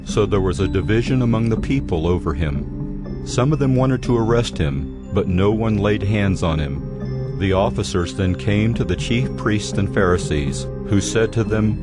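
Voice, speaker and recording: A male narrator with a deep voice tells a scripture story in scripted audio drama style. Clear background music plays under his reading. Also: expressive, moderate pace.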